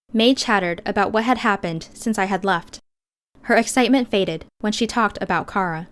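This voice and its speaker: A woman reading scripted first-person fiction as an audiobook narrator. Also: medium pitch.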